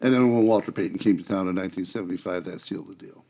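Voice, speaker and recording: Male guest, sixties, creaky voice, casual conversational storytelling. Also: low-pitched, very fast, warm tone. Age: 60s. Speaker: guest, church member. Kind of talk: casual chat, reminiscing. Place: small studio round a table. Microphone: close podcast microphone.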